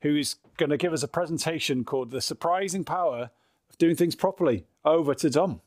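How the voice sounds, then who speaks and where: Higher-voiced man introducing a speaker. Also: fairly quick, darkish tone. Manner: brief introduction. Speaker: event host.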